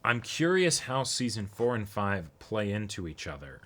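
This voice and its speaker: Man, low pitch, crisp forceful tone, analytical casual chat, frequent check-ins with the listener. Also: big pitch swings, moderate pace. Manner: analytical, often checks in with "right?". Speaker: co-host of an anime reaction show. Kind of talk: casual discussion.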